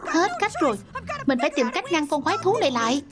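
High woman dubbing every cartoon character. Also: animated, fast, heavy background noise. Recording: loud music behind the voice.

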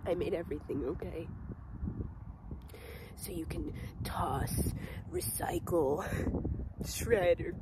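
A woman performing a dramatic monologue as a bitter, desperate teenage outcast on an emergency call, halting and emotionally intense. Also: on the low side, heavy background noise. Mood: eerily resigned. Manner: slow, halting, emotionally intense delivery with pauses.

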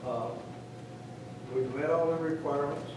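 A man presents his request in a halting way, pausing often and correcting himself. His voice carries from far off in a large, echoey chamber, with room noise plain behind him. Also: fairly high pitch. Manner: halting, many fillers and self-corrections. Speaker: applicant. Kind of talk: public comment at county meeting. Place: large county commission chamber. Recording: echoey chamber, distant mic, clear ambience.

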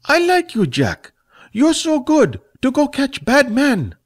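A man voicing Fat, a small, tipsy, giddy male creature with a high, slurred voice. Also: huge pitch swings, slow, full character voice.

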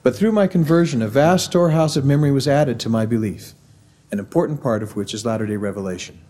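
A middle-aged man with a mellow, warm Missouri accent delivers a formal devotional address in a measured, earnest way. He speaks into a podium microphone in a large university auditorium. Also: medium pitch.

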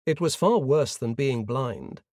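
A man's voice: mid-range voice, lively intonation, unhurried, darkish tone, dry recording, studio quality. A man with an English accent giving a scripted reading of a literary story. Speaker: audiobook narrator.

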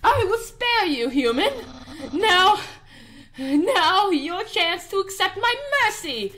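A woman's voice: very high voice, lively intonation, slow, bright, forceful tone, full character voice. A woman voicing a boastful, bumbling skeleton warrior from a video game, with pompous, theatrical declarations. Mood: grandly merciful. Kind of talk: video game dialogue voiced over.

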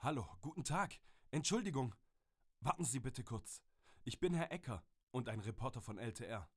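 Guy playing a pushy but polite reporter in a kids audio play, lively pitch. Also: moderate pace, slightly rough. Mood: eager. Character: eager polite male TV reporter. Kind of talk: children's audio drama.

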